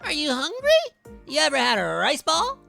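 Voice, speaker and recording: A woman reads a casual young visual novel protagonist, kindly and expressive. The voice swoops widely and has a bright edge with plenty of creak. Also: sits high, moderate pace, soft background music, voice pushed up, exaggerated voice. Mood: relieved, kindly. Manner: expressive, conversational character reading.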